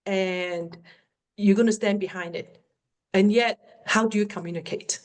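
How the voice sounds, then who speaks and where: A middle-aged woman with a Cantonese accent, a native Cantonese speaker, answering questions as a workplace communication coach in an online webinar. She talks earnestly and encouragingly and slows down to stress her key points. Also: medium pitch, fairly even intonation. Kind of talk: webinar Q&A teaching.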